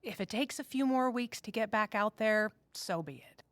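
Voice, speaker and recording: A woman playing a composed, firm office boss in an audio drama, speaking in a measured, diplomatic way. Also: medium pitch, expressive, no room sound, lightly acted. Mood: firm, reproving.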